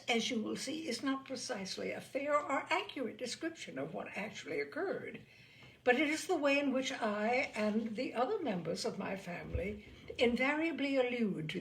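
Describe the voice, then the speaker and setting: Creaky-voiced woman reading stories expressively. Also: a little high. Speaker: storyteller reading short stories aloud.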